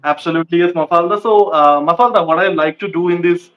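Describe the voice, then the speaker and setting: A man's voice: on the high side, quick pace. Eager male interviewer, lo-fi sound. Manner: enthusiastic, filler-heavy questions. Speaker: interviewer, channel host. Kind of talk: casual interview hosting.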